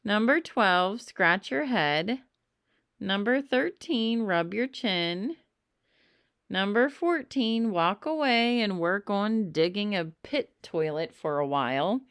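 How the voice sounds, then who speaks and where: Woman, children's read-aloud, highly animated intonation, emphatic phrasing, dry close sound. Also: takes it slowly, clean tone, dry recording. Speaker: storybook reader.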